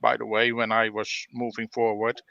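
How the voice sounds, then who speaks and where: A man talking casually as a player in a tabletop roleplaying game, halting as he thinks aloud. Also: fairly low pitch, completely flat delivery, gravelly, lo-fi sound. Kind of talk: casual chat during game play.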